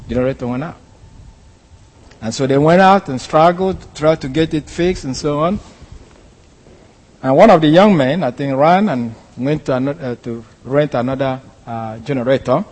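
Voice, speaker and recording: A man's voice: mid-range voice, a little mellow, in a large hall, rough recording. A man from Nigeria with a Nigerian accent, a pastor telling a story in a sermon, hesitant, with many fillers and restarts. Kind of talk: sermon testimony.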